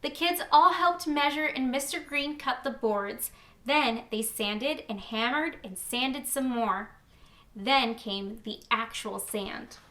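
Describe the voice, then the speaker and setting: A woman reading a picture book aloud to children, expressively and deliberately, stretching out key words. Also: sits high, sharp tone. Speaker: children's read-aloud host. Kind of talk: animated storybook read-aloud for children.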